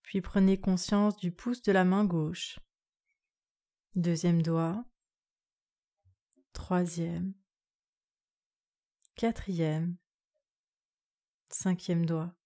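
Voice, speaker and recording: A woman yoga nidra instructor leading a guided relaxation meditation in a calm, soothing, measured way. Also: lowish voice.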